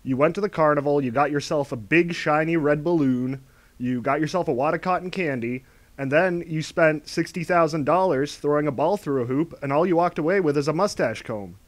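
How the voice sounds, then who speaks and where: A man's voice: on the high side, a little fast, dry recording. A man narrating a scripted commentary video in a scornful, mocking, ranting manner.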